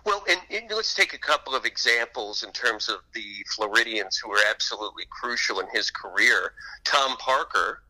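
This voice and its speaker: Very bright, forceful man telling stories. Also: medium pitch, animated, normal speed, rough recording. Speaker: interview guest, author and TV reporter. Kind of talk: conversational storytelling.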